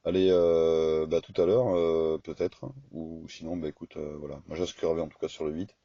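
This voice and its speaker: A man's voice: a bass voice, level tone, normal speed, mellow, dry recording. A man chatting casually over two-way radio, rambling and hesitant in a colloquial way. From France. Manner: rambling, hesitant, colloquial, often ending on "quoi" and "hein". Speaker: radio amateur operator. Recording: slightly dull, lo-fi radio-chat recording.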